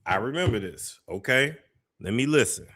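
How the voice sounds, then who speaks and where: A man's voice: mid-range voice, expressive, moderate pace, sharp tone. A man hosting a commentary show, reacting to clips in a gossipy, skeptical way. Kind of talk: reaction commentary on a played clip.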